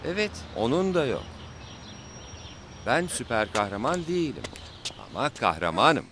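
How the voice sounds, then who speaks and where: Kind animated father played by a man: mid-range, animated intonation, patient and explanatory, music bed. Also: mid-range voice, normal speed, background noise, close to an everyday voice. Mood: reassuring, earnest. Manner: explanatory, reassuring. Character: gentle, patient cartoon father of a young boy. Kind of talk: animated children's cartoon dialogue.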